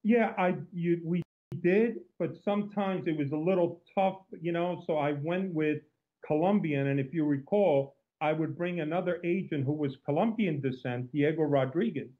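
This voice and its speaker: A man's voice: a little high, normal speed. A man with a Cuban accent, a former undercover agent, telling stories in an outgoing way as an interview guest. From Cuba. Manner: outgoing, storytelling, frequent restarts. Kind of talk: interview conversation. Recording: tinny phone-like line.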